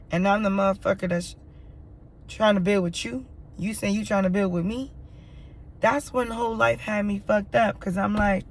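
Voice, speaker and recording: Hoarse, raspy woman vlogging candidly. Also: mid-range voice. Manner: emphatic, repetitive, swears freely. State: hoarse, dry throat from yelling. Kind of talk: candid personal monologue.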